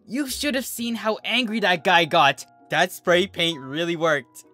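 A narrator acts out a brash young cartoon pony girl. She is loud and emphatic, raised well above the storytelling pitch, bright and set over music. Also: a little slow. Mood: cocky. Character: brash young female cartoon pony prankster, easily panicked.

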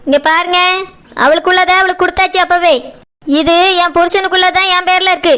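Hard-hearted daughter-in-law, female performer for a family skit: piercing, fast-talking, curt and scolding, southern Tamil Nadu accent. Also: extremely high pitch, level tone, bright, forceful tone. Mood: cold defiance. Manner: scolding, dismissive. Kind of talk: family drama skit acting.

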